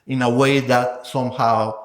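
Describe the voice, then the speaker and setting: A man's voice: mid-range voice, little pitch movement, a little slow. A man, a guest scholar, talking through ideas in an academic interview, thinking aloud and often ending points with a questioning "no?". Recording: roomy echo.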